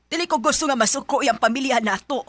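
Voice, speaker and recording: A woman's voice: high voice, fast, a bit bright, no room sound. A woman playing a stern, scolding mother in a radio drama, with a Bisaya accent. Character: stern, scolding mother worried for family honour.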